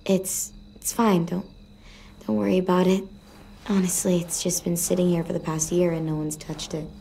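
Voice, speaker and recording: A woman's voice: lowish voice, little pitch movement, moderate pace, creaky, warm tone, slight background noise. An actress playing a wistful, awkward but tough teenage girl survivor in a video game, with a hesitant, slangy, casual delivery. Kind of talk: video game drama dialogue.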